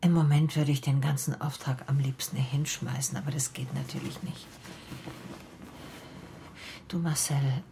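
A woman's voice: very deep voice, steady tone, a little slow, vocal fry, long pauses, noisy background, full character voice. An actress playing a shaken, introspective female private detective who narrates her case in a radio play. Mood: weary, pleading.

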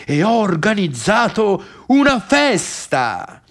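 An actor playing a middle-aged failed pianist, bitter and obsessive, in a scornful, sarcastic theatrical monologue about the sister who left him. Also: male voice, high voice, very animated, takes it slowly, a bit bright, exaggerated voice. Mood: wounded, bitter. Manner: scornful, sarcastic, brooding, swinging between muttering and outbursts.

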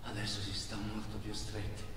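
A man performing a dramatic theatrical monologue, narrating a journey as a stage actor, swinging between hushed delivery and loud declamation. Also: on the low side, completely flat delivery, moderate pace. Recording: echoey hall, distant mic, music behind. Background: music.

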